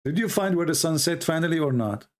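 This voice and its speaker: Male debate host, slightly high voice, relentless questioner. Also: expressive, quick pace. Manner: pressing, repetitive questioning. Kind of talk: live call-in debate.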